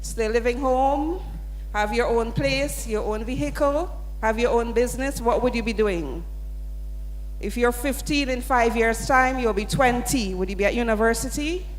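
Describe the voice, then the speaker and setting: Brief: woman presenter, slightly high voice, coaxing the crowd, reverberant hall. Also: moderate pace, a little hiss or hum. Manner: upbeat, coaxing audience participation. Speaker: sponsor representative presenting at a student event. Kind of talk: interactive address to an audience. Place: large hall.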